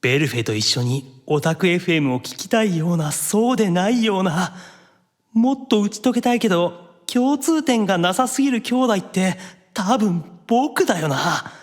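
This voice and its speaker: A man playing an anxious, excitable otaku demon brother in an anime audio drama, dithering theatrically. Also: sits high, moderate pace. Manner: dithering inner monologue with theatrical outbursts.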